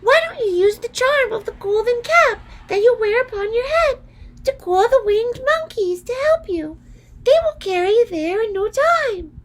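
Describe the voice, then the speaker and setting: A very high, squeaky little mouse queen from a storybook. She is small and kindly, with a helpful manner. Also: animated, moderate pace. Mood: helpful, reassuring.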